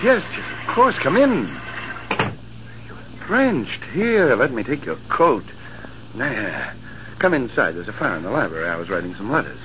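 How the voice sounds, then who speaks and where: An actor playing a proud, hot-tempered army captain in a 1940s radio drama, speaking in an indignant, declamatory way. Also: male voice, medium pitch, big pitch swings, moderate pace, pauses often, close to an everyday voice. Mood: tender. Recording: muffled old radio broadcast with faint hiss.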